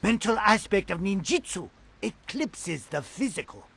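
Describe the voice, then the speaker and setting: A man playing an aging mutant rat father and martial arts teacher in an animated game, with a raspy, theatrical, instructive delivery. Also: high voice, unhurried, exaggerated voice. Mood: fatherly. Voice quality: raspy, gravelly.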